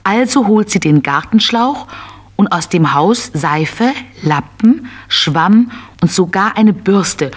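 Woman narrator with a medium-pitched voice. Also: animated, takes it slowly, slightly rough. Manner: storytelling for small children. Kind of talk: scripted children's story narration.

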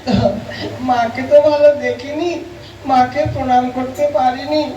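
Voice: high-pitched, little pitch movement, normal speed, lo-fi sound, slight background noise, natural voice. A performer with a woman-like voice playing a cheeky, scheming younger brother puppet in a teasing, insistent folk comedy style. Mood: pleading. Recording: roomy distant microphone with loud backing music.

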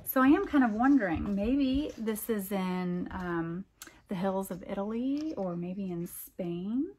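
A middle-aged American woman reads a picture book aloud, adding expressive, wondering comments for young listeners. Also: mid-range voice, a little slow, slightly rough. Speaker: storytime reader and teacher.

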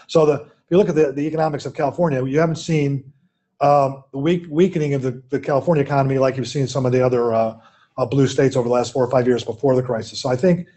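An older man speaks over a video call from a home office, his tone mellow and relaxed. He answers questions in a thoughtful way and often repeats small words while he works out his point. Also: mid-range voice. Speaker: investment firm executive, guest. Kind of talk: keynote conversation, answering questions.

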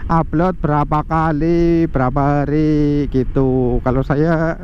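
A man chatting excitedly to viewers while riding a motorbike outdoors, recorded on a bike-mounted action camera. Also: on the high side, takes it slowly. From Central Java, Indonesia. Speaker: motovlogger. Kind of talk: casual ride-along motorcycle review. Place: outdoors on a moving motorbike, quiet residential streets. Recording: muffled action-camera audio with light road noise.

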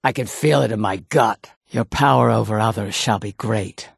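A woman voicing a gruff, proud female orc warrior in a video game, blunt and menacing, with a gravelly, husky voice. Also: rumbling low, moderate pace, no room sound, clean studio sound, full character voice. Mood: blunt, curt. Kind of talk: video game character voice lines.

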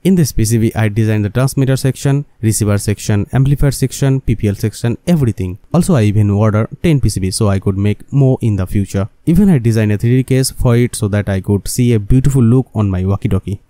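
A man's voice: lowish voice, fast, few pauses, dark tone, no room sound. A man who speaks English as a second language, narrating a scripted DIY electronics tutorial and addressing viewers directly. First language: not English. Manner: non-native phrasing, addresses viewers as guys. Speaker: electronics hobbyist presenter.

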